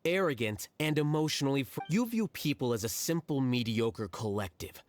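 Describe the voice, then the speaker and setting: An actor playing a coolly rational, blunt young scholar in a video game, measured and detached. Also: male voice, medium pitch, animated, a little hiss or hum, natural voice.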